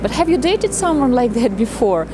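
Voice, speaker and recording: A woman in her thirties with a fairly high voice speaks to camera as a presenter. Her delivery is explanatory and animated, slipping into acted-out remarks. She is outdoors on a city street, with clear street noise behind her. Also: moderate pace. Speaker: matchmaker presenting a video channel. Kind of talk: talking to camera, explanatory with anecdotes.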